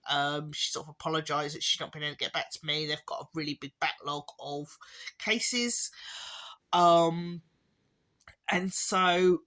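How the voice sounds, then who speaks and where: A woman with a hoarse, fading voice from a chesty cough, giving a rambling, casual update to her viewers with wry little laughs. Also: lowish voice, a bit bright. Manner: rambling, with many ums and restarts, occasional wry laughs. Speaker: parent vlogger. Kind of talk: casual spoken update to camera.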